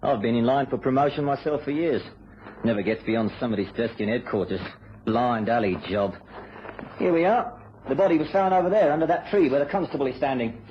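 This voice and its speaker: An actor playing a deferential, world-weary English police sergeant in a vintage radio drama, respectful, matter-of-fact and resigned. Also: male voice, mid-range voice, animated, fairly quick, close to an everyday voice. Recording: muffled, noisy old radio recording.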